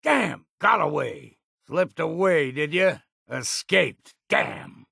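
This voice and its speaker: An actor playing a grizzled old hard-drinking islander in a video game, with a gravelly, husky voice and a wry, folksy drawl. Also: male voice, a little high, lively intonation, slow, bright, forceful tone, dry recording, clean studio sound, exaggerated voice. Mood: irritated. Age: older. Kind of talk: video game character voice lines.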